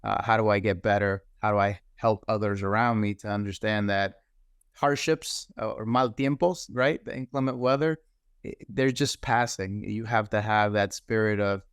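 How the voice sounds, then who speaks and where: Earnest, smooth-voiced man from the Dominican Republic. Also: fairly low pitch, fairly even intonation, dry recording, clean studio sound. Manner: measured, earnest, pauses with frequent "uh". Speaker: guest, cybersecurity executive. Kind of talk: reflective personal storytelling.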